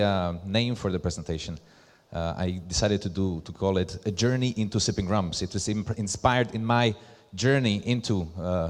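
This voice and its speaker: Male presenter, Slovak accent, lower register, deliberate emphatic phrasing, live stage talk with long hall reverb. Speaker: presenter, brand ambassador. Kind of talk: conference presentation. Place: large hall at a live event.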